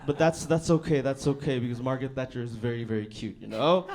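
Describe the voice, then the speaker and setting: A young man from Bangladesh doing a stand-up set on a comedy club stage, talking in a laid-back, deadpan way with lots of "you know what I mean". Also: mid-range voice, fast, crowd noise.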